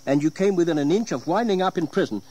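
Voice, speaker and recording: An actor playing a stern, weary gentleman father in an audio drama, reproachful and formal. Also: on the high side, animated, fast. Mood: scolding. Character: stern, weary gentleman father scolding his wayward son.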